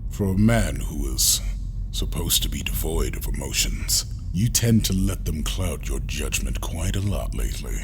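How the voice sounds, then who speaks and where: An actor playing a stern, wary man of authority who advises bluntly, in a measured audio drama delivery. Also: a bass voice, lively intonation, noisy background. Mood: grave, advising.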